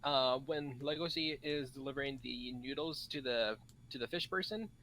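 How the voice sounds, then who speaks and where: A man discussing casually on a podcast in a halting way, with many pauses and repeats. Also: mid-range voice, a bit bright, a little hiss or hum, low-quality recording.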